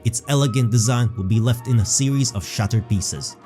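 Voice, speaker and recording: Casting: male storyteller, medium pitch, measured and dramatic scripted fantasy narration. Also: dark tone, faint music behind.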